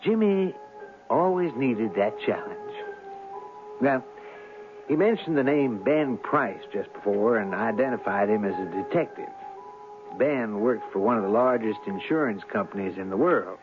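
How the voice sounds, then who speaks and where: Genial radio storyteller, band-limited sound. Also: male voice, fairly low pitch, expressive, unhurried, narrow, tinny audio. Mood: wry, reminiscing. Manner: conversational, reflective storyteller. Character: genial, wry male writer narrating his own tale. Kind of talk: old-time radio drama storytelling. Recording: band-limited old radio broadcast, faint music.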